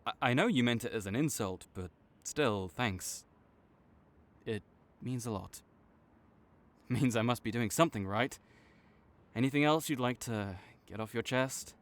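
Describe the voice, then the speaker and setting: A man playing a proud supervillain in a dramatic audio roleplay, grudging and exasperated, with a secret soft spot that makes him flustered. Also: medium pitch, unhurried, long pauses, close to an everyday voice. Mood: flustered, touched. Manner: tsundere, grudging, exasperated, stammering when sincere.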